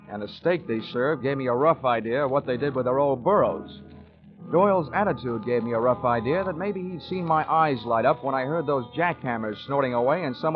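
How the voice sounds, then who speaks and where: An actor playing a wisecracking, world-weary insurance investigator in a 1940s radio drama, speaking in a deadpan way. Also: male voice, medium pitch, natural voice. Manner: wry, deadpan. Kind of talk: 1940s radio acting, first-person narration. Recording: dull old radio recording, music behind.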